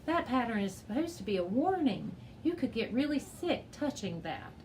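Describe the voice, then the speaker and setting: A woman's voice: a little high, big pitch swings, moderate pace, a little mellow, slight background noise. Woman reading as an emphatic young girl wildlife expert. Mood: serious warning.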